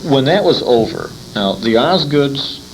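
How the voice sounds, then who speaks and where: A man with a medium-pitched, gravelly voice chats and reminisces casually. He halts and repeats words as he works out his phrasing. The amateur 1980s convention recording carries faint noise. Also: lively intonation, low-quality recording. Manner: halting, repeats words while searching for phrasing.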